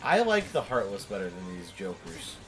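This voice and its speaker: A man's voice: sits low, wildly swooping pitch, normal speed, music in the background. A man chatting casually through a video game, rambling and opinionated as he analyses it. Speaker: gaming show co-host. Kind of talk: casual let's play commentary chat.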